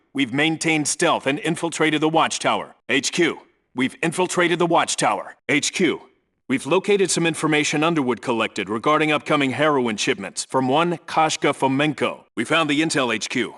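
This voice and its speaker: Man acting a bright, forceful military squad leader. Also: mid-range voice, moderate pace, natural voice. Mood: focused, reporting. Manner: terse, businesslike, authoritative. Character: seasoned male Navy SEAL team leader, terse and commanding. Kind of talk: video game voice acting, clipped military radio reports.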